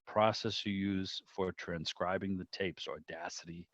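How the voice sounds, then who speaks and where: A man's voice: low voice, steady tone, unhurried, a bit bright, no echo. A man hosting a club video call in casual chat, rambling and breaking off his own sentences. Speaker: club meeting host. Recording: slightly dull video-call audio.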